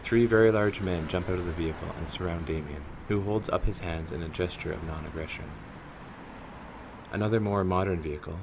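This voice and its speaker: A man's voice: deep voice, noisy background. A man reading scripted narration for a radio play.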